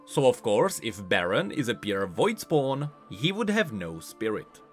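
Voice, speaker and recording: A man giving scripted, explanatory commentary as a video creator covering game lore, in an animated manner. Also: mid-range voice, a little slow, soft background music.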